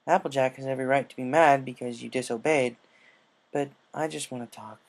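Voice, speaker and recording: A grown female pegasus from a storybook, read by a man. She speaks frankly and gently. The delivery is low-key, like an older sister talking to a younger one. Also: fairly even intonation, a little fast, natural voice. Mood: reassuring. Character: frank, injured adult female pegasus pony, big-sisterly.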